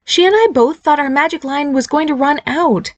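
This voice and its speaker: A woman's voice: high voice, moderate pace, no room sound, pitched above the performer's own voice, lightly acted. A woman voicing a nervous, bubbly fairy godmother mother who keeps reassuring her son. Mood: chirpy nervous.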